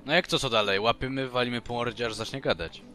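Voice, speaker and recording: A man's voice: medium pitch, fast, sharp tone. A man improvising in character as a player in a tabletop role-playing game.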